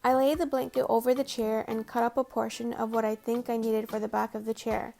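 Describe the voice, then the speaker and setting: Flat, gravelly woman narrating her home makeover. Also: a little high, brisk. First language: Tamil. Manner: casual, chatty and self-deprecating. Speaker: DIY home makeover vlogger. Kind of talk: scripted voice-over narration. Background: faint background music.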